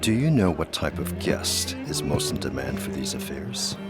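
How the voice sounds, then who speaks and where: An actor playing a suave, calculating aristocratic gentleman in a gothic audio drama, speaking in courtly, formal phrases. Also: rumbling low, big pitch swings, normal speed, over loud music. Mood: courtly, charming.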